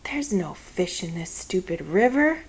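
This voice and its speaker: A woman's voice: lowish voice, very animated, unhurried, a little hiss or hum. A woman voicing a sulky, complaining young girl in a storybook, grumbling and petulant. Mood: sullen.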